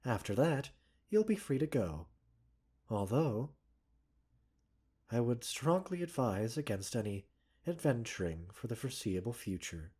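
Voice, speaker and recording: A man playing a gentle, caring doctor in a roleplay ASMR, speaking softly and breathily with measured, polite, gently chiding formality. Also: medium pitch, big pitch swings. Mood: concerned, chiding. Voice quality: soft, breathy. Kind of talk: roleplay ASMR, scripted character acting.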